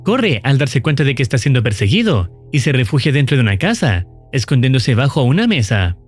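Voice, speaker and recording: A man giving scripted, explanatory narration in a measured, formal storytelling manner. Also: mid-range voice, very animated, faint music behind. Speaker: narrator of a horror series recap.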